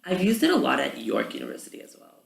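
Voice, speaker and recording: A man's voice: mid-range voice, fast talker, creaky. A young Canadian man, a student, chatting casually about language with many ums. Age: young adult. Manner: relaxed, many ums, talks at length. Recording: roomy with faint background noise.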